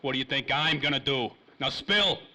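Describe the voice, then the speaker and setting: A man's voice: mid-range voice, moderate pace, sharp tone. An actor playing a tough, menacing former private detective in a 1930s-style radio crime drama, talking in clipped, slangy tough-guy lines. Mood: threatening.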